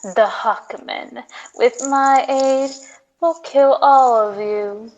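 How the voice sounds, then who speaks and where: A woman's voice: a little high, fairly even intonation. A woman acting a haughty, vengeful young space princess in an amateur radio serial, cold and imperious, on slightly dull sound. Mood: threatening. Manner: cold, deliberate, imperious delivery.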